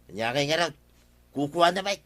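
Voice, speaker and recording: An actor playing a blunt, grumbling village husband in an Ilocano radio comedy drama. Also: male voice, on the high side, animated, a little fast, pushed and bright. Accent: Filipino, Ilocano.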